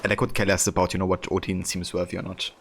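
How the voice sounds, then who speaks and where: Low-voiced streamer with a German accent. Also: male voice, fast, vocal fry, a little hiss or hum. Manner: relaxed, rambling, many fillers. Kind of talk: casual live-stream commentary.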